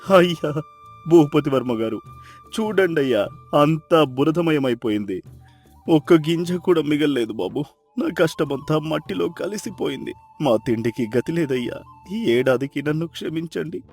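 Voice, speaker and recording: A man's voice: on the high side, animated, normal speed, a little mellow, soft background music. A man playing a humble, desperate poor farmer in a dramatized moral story, lamenting and pleading deferentially.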